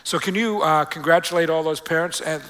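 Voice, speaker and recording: Male pastor, slightly high-pitched, conversational preaching. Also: brisk, fairly bright tone, slight background noise. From Australia. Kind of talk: sermon to a congregation. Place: church, preaching before a congregation.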